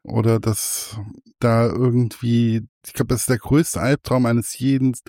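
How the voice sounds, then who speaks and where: A man from Hesse hosting an interview, asking rambling, relaxed questions. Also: mid-range voice, a little slow, no room sound, studio quality. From Hesse, Germany. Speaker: host. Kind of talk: casual interview chat.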